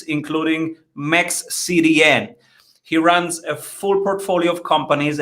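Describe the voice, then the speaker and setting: A man's voice: a little high, fairly bright tone. A man hosting an interview, introducing his guest in an upbeat, enthusiastic way. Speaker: podcast host.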